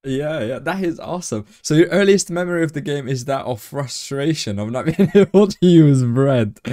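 A man from Morocco hosting a casual podcast interview. His voice is mellow and dark, and he sounds eager and quick to laugh, cheering on his guest. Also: on the high side, expressive. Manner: enthusiastic, laughs often. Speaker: podcast host.

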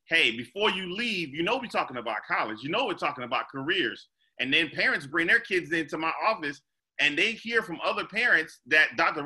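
A man's voice: on the high side, sharp tone, poor audio. A man from Trinidad, an optometrist speaking emphatically on a video panel and repeating words to press his points. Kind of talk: panel discussion over video call. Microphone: video call webcam.

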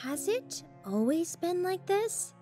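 Woman as an excitable, high-pitched teenage girl. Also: slow, faint music behind, exaggerated voice. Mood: surprised, questioning. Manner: eager, gushing. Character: excitable naive teenage monster girl, new to the world. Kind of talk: audio drama acting.

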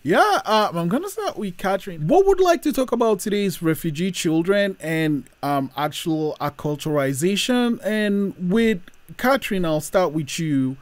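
A man's voice: high voice, lively intonation, unhurried, few pauses, no echo. A man in his thirties hosting a panel interview, introducing guests and putting questions to them over a video call. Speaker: podcast host.

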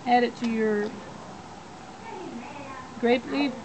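Higher-voiced woman giving cooking steps outdoors. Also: steady tone, very slow. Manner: plain step-by-step instructions. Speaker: cooking instructor. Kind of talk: cooking demonstration. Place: backyard outdoors. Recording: outdoor background noise.

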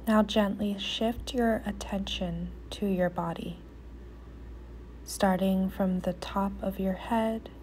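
A woman leading a guided meditation in a soft, soothing, often near-whispered voice with pauses. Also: mid-range voice, fairly even intonation, a little hiss or hum.

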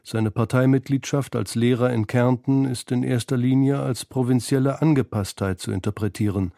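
A man narrating a scripted radio documentary in a measured, analytical manner, close to a studio microphone. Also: mid-range voice, fairly even intonation, vocal fry, dark tone, no echo. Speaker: feature narrator.

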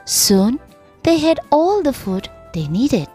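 A teacher with an Indonesian accent reading a storybook aloud to children in a slow, sing-song way. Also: female voice, high-pitched, big pitch swings, mellow, soft background music.

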